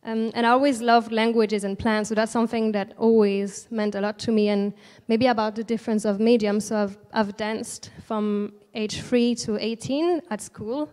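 A woman in her thirties from Alsace in France, a native French speaker with a French accent, speaking gently and reflectively as an artist guest in a stage interview into a handheld mic. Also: on the high side, fairly even intonation, moderate pace, few pauses. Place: large indoor event space at a museum, on stage. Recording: long hall reverb.

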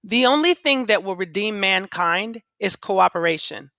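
A woman teacher reading aloud to students with an expressive, deliberate storytelling delivery. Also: mid-range voice, dry recording, studio quality. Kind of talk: expressive read-aloud of children's poems and class instructions.

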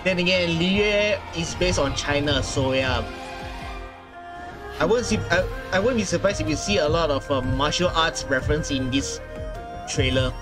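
A man's voice: a little high, moderate pace. A man, perhaps in his thirties, giving casual, exclamatory reaction commentary as a gaming video host. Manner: excitable, exclamatory. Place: small indoor room. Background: game trailer music.

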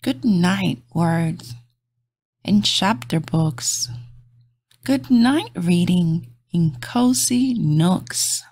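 A woman reading aloud to young children as a storybook host, in a gentle, encouraging, sing-song manner. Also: on the low side, animated, drawn-out words, no room sound, clean studio sound.

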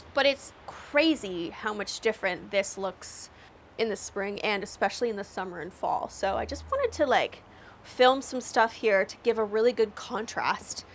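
A woman's voice: a little high, fairly bright tone, a little hiss or hum. Chatty Canadian woman touring her garden. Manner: chatty, upbeat, with frequent laughs and "um"s. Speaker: garden vlogger. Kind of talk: casual walking garden tour. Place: snowy backyard garden outdoors. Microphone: lapel mic.

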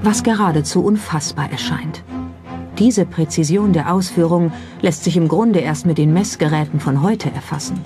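Low-voiced woman narrating a documentary. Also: normal speed. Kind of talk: scripted narration. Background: music behind the voice.

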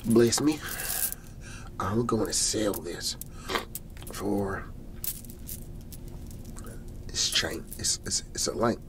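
A man playing a folksy, excitable garage-sale salesman in an ASMR roleplay, whispery and breathy, rambling and repeating his hard sell. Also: lowish voice, extremely slow, long pauses, a little hiss or hum, low-quality recording. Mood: pleased, insistent. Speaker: host playing a character.

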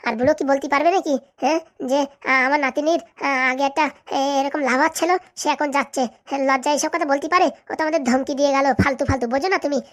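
Give me an actor doing a very high-pitched, fast-talking cartoon village wife, bossy and sharp-tongued. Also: fairly even intonation. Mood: smug. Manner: scolding, sharp-tongued, dismissive. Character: bossy, scolding middle-aged village wife and mother. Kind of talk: comedy cartoon voice acting.

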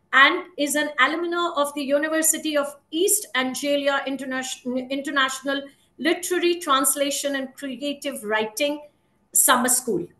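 Middle-aged Indian woman, event host. Bright, high voice, slow and halting formal read. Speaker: session host introducing speakers. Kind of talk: formal introduction read from notes. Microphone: video call. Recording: roomy video-call sound.

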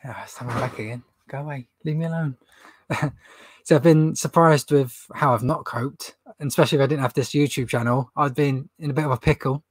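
A man's voice: medium pitch, a little fast, slightly rough, no echo. A young man with a runny nose hosting a casual livestream Q&A from a stock room, rambling chattily and laughing at his own jokes. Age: young adult. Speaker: reseller livestream host. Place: indoor stock room with shelves.

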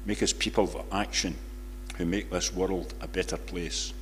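An older man reads a public prayer in solemn, measured phrases in a church. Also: deep voice, steady tone. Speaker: congregation member leading prayers. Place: large church, at a lectern. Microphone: lectern microphone. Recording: reverberant church hall, faint background noise.

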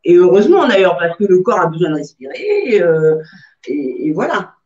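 A woman in her thirties answering interview questions from home over a video call, speaking in a measured way with frequent fillers and restarts. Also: lowish voice, sing-song, darkish tone. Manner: measured, hesitant with many fillers. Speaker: guest entrepreneur. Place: room at home, indoors. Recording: dull lo-fi video-call audio.

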